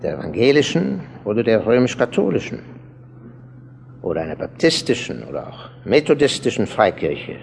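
A man playing an earnest, sceptical passer-by in a German radio play, arguing deliberately and with emphasis. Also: mid-range voice, sing-song, vocal fry, a little hiss or hum. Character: earnest male passer-by, sceptical seeker outside the church.

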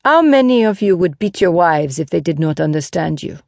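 A woman voicing a calm, gently reproving male horse tamer in a storybook, measured, earnest and instructive. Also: fairly low pitch, no echo, studio quality.

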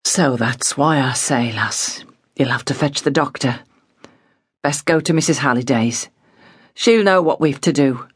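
A woman voicing a worried, quick-tempered working-class father, low, weary and pleading. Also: slightly rough, no echo, clean studio sound, full character voice. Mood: hopeless.

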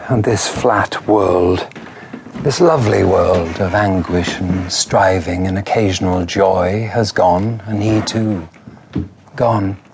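An actor playing a somber, reflective man in a radio drama, speaking in a measured, brooding way. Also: on the low side, a little mellow, a little hiss or hum. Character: somber reflective male friend narrating a murder scene. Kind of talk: radio drama acting, intimate narration.

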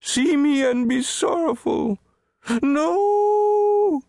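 Storybook outcast creature: very high-pitched, self-pitying, mournful, wildly swooping melodramatic lament. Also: male voice, expressive, creaky, darkish tone, no echo, full character voice. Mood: anguished self-pity. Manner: theatrical howling and sighing, drawn-out lament. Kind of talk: storybook character voice.

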